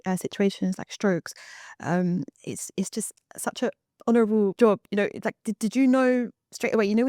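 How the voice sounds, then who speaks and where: A woman's voice: medium pitch, dark tone, no echo, studio quality. A woman hosting a casual interview, chatty with many fillers. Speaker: interview host.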